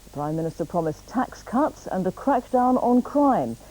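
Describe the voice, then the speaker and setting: A woman with a British accent reading scripted news in a formal, measured way. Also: medium pitch, sing-song, mellow, rough recording. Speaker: newsreader.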